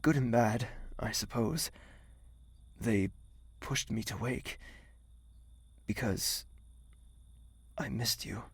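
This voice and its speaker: Creaky-voiced man acting as a tender young lover. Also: mid-range voice, takes it slowly, pauses often, no room sound, heavily acted. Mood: wistful, gentle. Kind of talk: audio drama acting.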